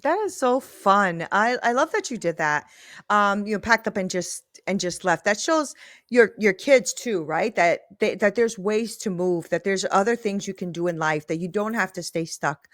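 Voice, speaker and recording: A woman's voice: mid-range voice, lively intonation, moderate pace, a bit bright, no room sound, studio quality. A woman hosting an interview show, chatting casually and enthusiastically, often tagging "right?" onto her sentences. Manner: enthusiastic, gushing, frequent "right?" and "like". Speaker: podcast host.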